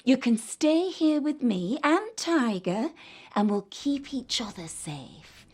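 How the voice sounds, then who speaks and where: Brisk, motherly woman with slow, lively delivery. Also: sits high, husky, exaggerated voice. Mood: tender, comforting. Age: middle-aged. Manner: brisk then tender and comforting. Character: brisk, motherly wartime village woman with a grown daughter. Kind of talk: radio play acting.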